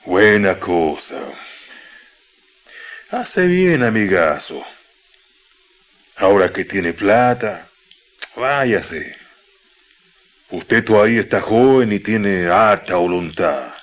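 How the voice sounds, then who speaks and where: An actor playing a warm, jovial Chilean miner in his fifties, talking in a folksy, colloquial way for a radio drama. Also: lowish voice, animated. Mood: wistful, resigned. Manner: folksy, drawn-out colloquial speech.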